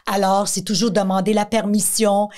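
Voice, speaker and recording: A woman's voice: medium pitch, flat delivery, normal speed, darkish tone. A middle-aged woman with a Quebec French accent, speaking as an interview guest and explaining advice through everyday examples. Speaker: guest, director of a missing-children organisation.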